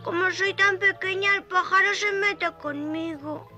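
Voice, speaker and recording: Naive tiny bee girl, cartoon dub, piping high voice, slow exclamatory delivery. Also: heavily acted. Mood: relieved, pensive. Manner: exclamatory, childlike. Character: small naive, excitable young girl bee. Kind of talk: classic children's cartoon dubbing. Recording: loud music behind the voice.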